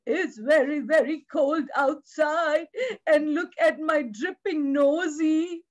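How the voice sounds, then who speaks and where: Woman voicing a slow, whining, freezing wolf. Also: high voice. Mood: whining, begging. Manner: whining, drawn-out. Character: cold wolf with icy dripping nose, begging.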